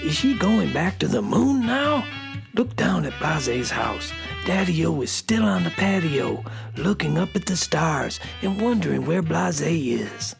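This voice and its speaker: A woman's voice: sits low, big pitch swings, unhurried, creaky, music in the background, full character voice. A woman playing a hip beatnik cat who narrates a children's radio story in laid-back slang. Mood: hip, inviting.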